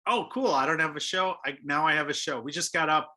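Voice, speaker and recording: A man's voice: fairly high pitch, a little fast, sharp tone. A man hosting a casual podcast interview, chatty and asking probing questions. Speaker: podcast host.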